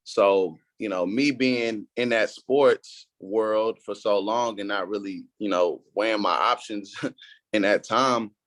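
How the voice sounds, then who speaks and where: Young man, podcast guest, low voice, dry close sound, relaxed slangy storyteller. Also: takes it slowly, dry recording. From Houston, Texas. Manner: laid-back, slangy and repetitive. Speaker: guest entrepreneur. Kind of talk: casual podcast interview.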